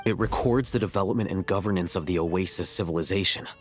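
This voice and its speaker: Man voicing a low, deadpan male scholar. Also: fast, soft background music, close to an everyday voice. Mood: explanatory. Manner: deadpan, matter-of-fact. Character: cool, rational male scholar, dryly blunt. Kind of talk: video game dialogue acting.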